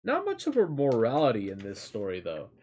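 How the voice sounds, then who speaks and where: A man's voice: mid-range voice, huge pitch swings, fairly quick, a little mellow. A man reading a fairy tale aloud and chatting casually as a streamer, in a loose, rambling manner. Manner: casual, rambling, swears lightly. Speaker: storyteller and streamer.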